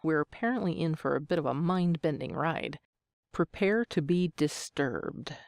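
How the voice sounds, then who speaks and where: A woman's voice: low-pitched, animated, moderate pace, creaky, darkish tone, no echo, studio quality. A woman in her thirties answering interview questions as an amateur voice actor, with a joking, self-deprecating manner. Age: 30s.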